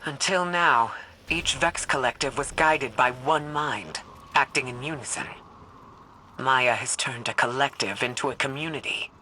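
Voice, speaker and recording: Low-voiced, intense scientist. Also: female voice, measured pace, bright, forceful tone, a little hiss or hum, exaggerated voice. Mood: serious. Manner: earnest, direct. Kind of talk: scripted video game acting.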